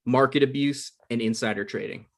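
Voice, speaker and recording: A man's voice: mid-range voice, brisk, creaky, close to an everyday voice. An awkward, earnest man in his mid-thirties, played by a man at a comedy table read, bluffing through a date. His voice sits mid-range and he turns defensive and evasive easily.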